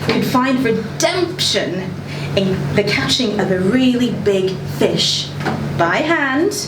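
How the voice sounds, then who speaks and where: Condescending book editor, acted by a woman, higher-pitched, deliberate and blunt, satirical live reading in a noisy room. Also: animated. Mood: arch, mock-earnest. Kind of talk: dramatic reading of a satirical letter. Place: indoor social venue at live reading event. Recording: roomy, noisy live venue, distant mic.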